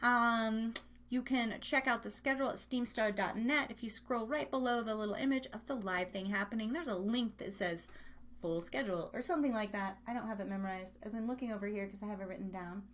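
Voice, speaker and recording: A woman's voice: on the high side, brisk, fairly bright tone, a little hiss or hum. A woman hosting a live online radio show in casual chat, playful and rambling, often interrupting herself as she talks straight to listeners. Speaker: live radio and podcast host.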